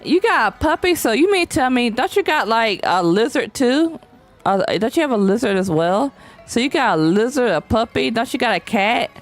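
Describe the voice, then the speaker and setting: A woman's voice: on the high side, animated, normal speed, faint music behind. A young woman streaming a game, chatting casually and reading chat aloud in slangy, playful talk. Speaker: VTuber streamer. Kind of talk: casual live-stream chat while gaming.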